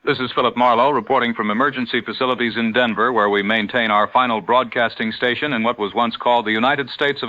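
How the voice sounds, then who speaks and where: A man playing a grave, formal wartime radio news anchor in a measured 1940s bulletin style. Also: mid-range voice, pushed and bright, no room sound, lightly acted. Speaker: news announcer. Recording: dull lo-fi old radio sound.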